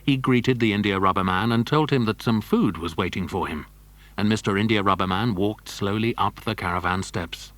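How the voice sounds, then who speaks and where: A man's voice: fairly low pitch, moderate pace, a bit bright, no room sound. A man narrating a children's adventure story from a script.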